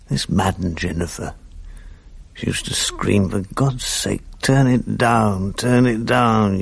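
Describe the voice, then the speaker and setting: Radio drama: dissipated English script editor, middle-aged, world-weary, blunt, gravelly and husky. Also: male voice, lowish voice, no echo, heavily acted. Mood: bitter, recollecting. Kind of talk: 1980s BBC radio drama acting.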